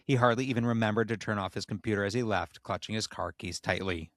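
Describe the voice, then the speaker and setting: Low-voiced man narrating, dry close sound. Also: fast talker, a bit bright, no room sound. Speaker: podcast host and reader. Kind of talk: comic narration and casual banter.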